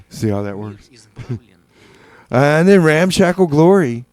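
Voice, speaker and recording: A man hosting a community radio music show, chatting casually in a halting, rambling way with deadpan comedy. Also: fairly high pitch. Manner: hesitant, rambling, halting with repeated words. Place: small community radio studio.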